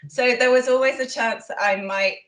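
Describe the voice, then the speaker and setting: A woman's voice: fairly high pitch, steady tone, normal speed, fairly bright tone. Chatty woman in an echoey room. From Yorkshire, England. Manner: thoughtful, hesitant with many ums. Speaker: guest historian. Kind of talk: relaxed interview answers.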